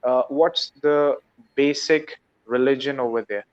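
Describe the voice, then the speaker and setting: Halting man on dull lo-fi call audio. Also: mid-range voice, fairly even intonation, no room sound. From Pakistan. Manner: slow, halting, short answers. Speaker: teacher, English learner. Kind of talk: casual video-call chat. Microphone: video call.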